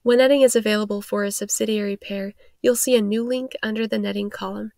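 A woman's voice: medium pitch, fairly even intonation, quick pace, a little mellow, no room sound, clean studio sound. A woman reading scripted explainer narration as a tutorial narrator, in an instructional manner.

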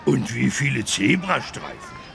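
A man voicing a big, good-natured, childlike talking elephant with a gravelly voice in a children's game. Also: mid-range voice, big pitch swings, unhurried, crowd noise, heavily acted. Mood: jolly, friendly. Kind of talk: children's educational game voice acting.

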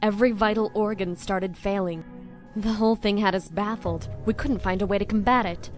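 A woman's voice: mid-range voice, fairly even intonation, moderate pace, soft background music, close to an everyday voice. A woman playing a calculating, self-assured female scientist villain in an anime dub, with composed, coaxing delivery. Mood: defensive, earnest. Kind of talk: dramatic anime dub acting.

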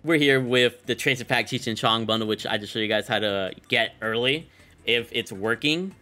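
A young man presents a video game showcase with upbeat, slangy commentary. His voice is bright and punchy at a middling pitch. He sits close to the mic, with faint music behind him. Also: normal speed. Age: young adult. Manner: slangy, enthusiastic. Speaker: gaming content creator and host. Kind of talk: casual excited game bundle showcase commentary.

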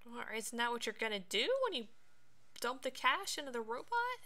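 A woman's voice: fairly high pitch, big pitch swings, normal speed, pushed and bright. A woman streamer giving casual, playful game commentary, sometimes theatrical in delivery. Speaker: streamer, let's play host.

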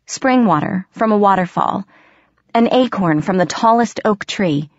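A woman voicing a frightened but quick-thinking captive girl who bluffs and pleads, in an audiobook. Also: mid-range voice, animated, no echo, muffled recording, voice pushed up, lightly acted. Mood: anxious bluffing. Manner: bluffing, pleading, hesitant when cornered. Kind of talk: audiobook character voice.

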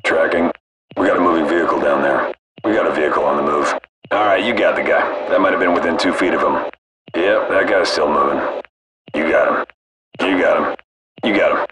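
A man's voice: a bass voice, fairly quick, pauses often. A man playing a cool, detached military gunship crewman, giving clipped, casual callouts through a radio filter. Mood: calm, detached. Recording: noisy military radio with engine drone.

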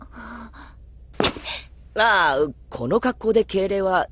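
A man's voice: high-pitched, lively intonation, very slow, no echo, dull audio, heavily acted. A man playing a bashful, mild young officer in an anime audio drama, hesitant and embarrassed.

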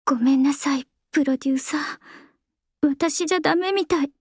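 An actress voicing a young female idol singer in a game, calm and composed, soft-spoken and earnest. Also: sits high, fairly quick, no room sound, studio quality. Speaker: game character voice. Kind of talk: game voice lines. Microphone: close studio mic, dry.

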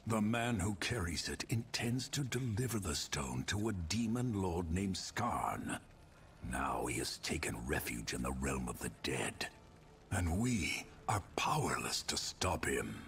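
A resolute fantasy game warrior with a deep, breathy rasp. The delivery is slow and solemn yet swings widely in pitch. Music plays behind him. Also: male voice, sing-song, a bit bright, slight background noise, exaggerated voice. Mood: grave, urgent. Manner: solemn, measured. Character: grim, resolute adult male warrior hunting an enemy. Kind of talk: fantasy video game voice acting.

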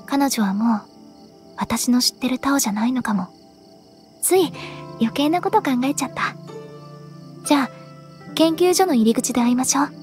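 Woman playing earnest young anime game girl, kinda high voice, serious and thoughtful, soft music behind. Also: lightly acted. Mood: wistful. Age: young adult. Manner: serious, measured, introspective. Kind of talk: Japanese anime game voice acting.

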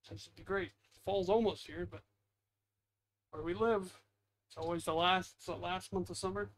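A man with a slightly high voice hosts a gaming video in a casual, rambling way. He mutters self-deprecating asides as he plays. The home headset recording has some faint noise. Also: fairly even intonation, normal speed. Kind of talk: casual gameplay commentary.

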